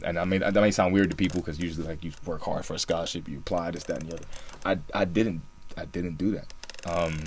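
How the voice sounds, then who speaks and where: A man's voice: low voice, a little mellow, a little hiss or hum. A young man from Singapore co-hosting a podcast, telling his story in a laid-back way with lots of fillers. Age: young adult. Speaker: podcast co-host. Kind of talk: casual podcast chat.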